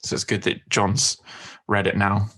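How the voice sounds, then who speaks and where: A man co-hosting a podcast, chatting in a relaxed way with small restarts. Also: on the low side, measured pace. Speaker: podcast co-host. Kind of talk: casual podcast chat.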